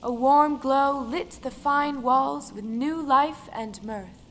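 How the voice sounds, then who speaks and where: A woman's voice: high-pitched, takes it slowly, a bit bright, slight background noise. A woman reading scripted folktale narration for an audio drama. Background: music.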